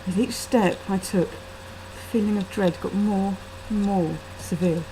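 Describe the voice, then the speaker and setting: A woman's voice: medium pitch, measured pace, a little hiss or hum, poor audio. A woman reading her own gothic short story expressively, recorded on a laptop webcam microphone. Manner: expressive, acting out the dialogue. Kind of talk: dramatic amateur reading of gothic fiction. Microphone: built-in laptop webcam microphone.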